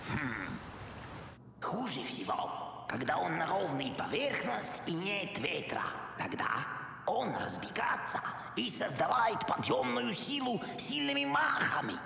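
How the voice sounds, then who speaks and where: A kindly, eccentric cartoon penguin inventor. The voice is fairly high and creaky, swooping widely in pitch, with a foreign accent and broken, emphatic phrasing. It rings in an echoey hall with music behind. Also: male voice, pushed and bright, full character voice. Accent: foreign accent in Russian. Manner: broken grammar, emphatic explaining. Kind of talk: animated film character acting.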